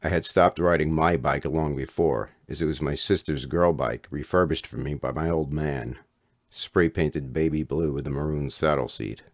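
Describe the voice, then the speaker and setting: A middle-aged man reading an autobiographical story as its author, in a measured, literate storytelling delivery. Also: extremely low pitch, no room sound, studio quality. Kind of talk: scripted autobiographical narration.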